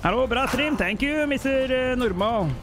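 A man's voice: very high voice, animated, normal speed, over music. A young man from Norway on a headset, chatting with his live-stream chat while playing, jokey and rambling. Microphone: headset mic.

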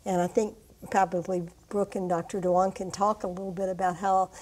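A woman's voice: mid-range voice, flat delivery, fairly quick, a little mellow, slight background noise, rough recording. An older woman explaining things as an expert on a studio panel. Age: older, around 60s-70s. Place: TV studio round table. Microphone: lapel mic.

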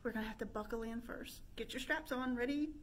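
A woman's voice: a little high, a little fast, slight background noise. A woman in her thirties leading an interactive pretend-play storytime, asking young children questions in a sing-song manner. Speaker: children's librarian hosting storytime. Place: indoor room with stone fireplace.

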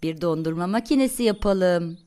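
A woman narrating a children's cartoon in a simple, expressive way, talking to the characters and asking questions. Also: fairly low pitch, moderate pace. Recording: studio voice-over with faint music behind. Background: faint music.

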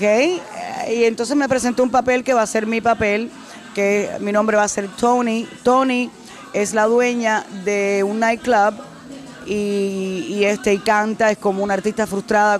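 A middle-aged woman from Cuba, a singer answering interview questions in a casual way, animated and repeating phrases for emphasis, into a handheld mic in a restaurant. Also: mid-range voice, a little slow. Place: decorated restaurant, indoors. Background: faint music.

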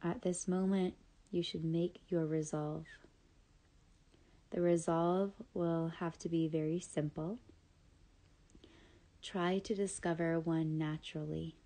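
A woman leading a scripted guided yoga nidra meditation, speaking in calm, soothing, measured instructions with pauses. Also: fairly low pitch.

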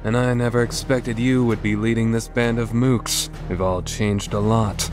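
A man's voice: mid-range voice, little pitch movement, moderate pace, music in the background, close to an everyday voice. A man voicing a proud, disdainful swordsman in a video game fan dub, speaking formally with haughty menace.

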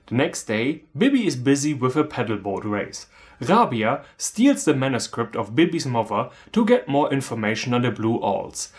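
A man in his thirties with a German accent, giving a scripted comedic film review in a sarcastic, theatrical manner. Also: medium pitch. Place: small room indoors, on a couch.

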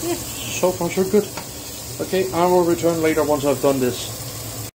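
A man casually narrating a home cooking vlog in a laid-back, somewhat halting way. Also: fairly high pitch, background noise. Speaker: home cook, video host. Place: indoors in a home kitchen.

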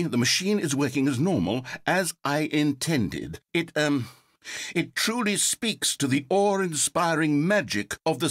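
Brief: self-important game narrator, theatrical, lively swings in pitch, bone-dry studio sound. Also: male voice, slightly rough, dry recording, heavily acted. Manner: theatrical, pompous, lively delivery. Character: pompous, self-important male narrator, easily rattled. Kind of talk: scripted video game narration.

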